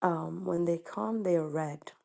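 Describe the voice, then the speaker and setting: Low, slow woman with an Ethiopian accent. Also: gravelly, darkish tone. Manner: halting, searching for words. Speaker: caller.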